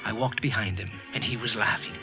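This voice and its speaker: A muffled vintage radio recording with music carries a guilt-ridden man, a clerk confessing to murder. He narrates in a tense, halting 1940s suspense style, frightened and repeating himself. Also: fairly low pitch, gravelly. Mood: anguished confession.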